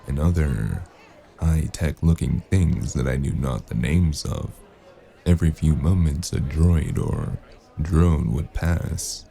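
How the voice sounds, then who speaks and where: Very deep, gravelly noir detective played by a man. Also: heavily acted. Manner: laconic, deadpan. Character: weary wounded noir detective, gruff, dry-witted, narrating his case. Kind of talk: noir audio drama narration and dialogue.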